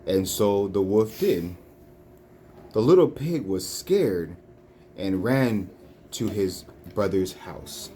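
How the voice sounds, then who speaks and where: A woman reading children's storybooks aloud in a deliberate, phrase-by-phrase way. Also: extremely low pitch, animated, mellow. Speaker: storybook reader. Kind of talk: children's read-along narration. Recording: faint background noise, home recording.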